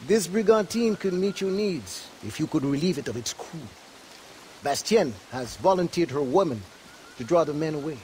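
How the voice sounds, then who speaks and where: A man plays a thoughtful rebel adviser in a video game drama. His mid-pitched voice moves in lively swings, earnest and deferential, with faint rain and water noise behind. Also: normal speed, lightly acted. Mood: earnest, advising. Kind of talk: video game cutscene acting.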